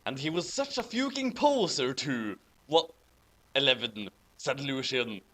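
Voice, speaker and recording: A man's voice: mid-range voice, expressive, a little slow, sharp tone. A man reading fan fiction aloud theatrically, with amused, giggly commentary. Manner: theatrical, hammy emphasis; giggly, breaking into laughter.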